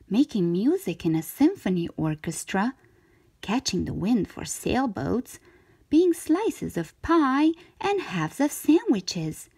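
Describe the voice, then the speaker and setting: Slow, animated woman reading a storybook. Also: mid-range voice, breathy edge. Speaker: children's storytime host reading a picture book aloud. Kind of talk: expressive scripted storybook narration for young children.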